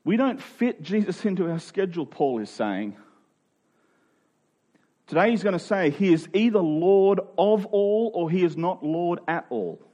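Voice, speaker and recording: Man, preacher, fairly high pitch, conversational sermon delivery, earnest, informal, emphatic on key phrases. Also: lively intonation, unhurried, mellow.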